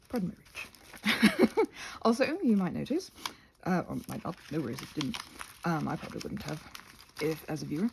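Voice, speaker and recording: A woman with a soft, slightly husky voice rambles casually to viewers in an ASMR craft video, halting and self-conscious, drifting into asides. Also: mid-range voice, slight background noise. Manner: halting and self-conscious, trailing off mid-thought, many asides.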